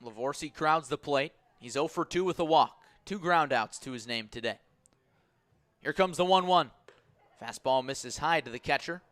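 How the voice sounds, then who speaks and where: A male radio baseball announcer with a bright, forceful voice pitched a little high. He delivers live play-by-play in short, punchy phrases that build with the action. The sound is close and dry, on a broadcast mic. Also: moderate pace, dry recording. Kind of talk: live sports play-by-play commentary.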